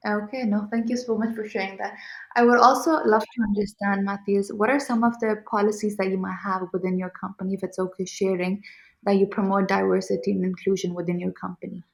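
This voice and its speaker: Young woman from pakistan asking interview questions, creaky low-key voice, pretty flat, keeps saying thanks. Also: fairly quick, low-quality recording. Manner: polite, affirming, thanks the guest often.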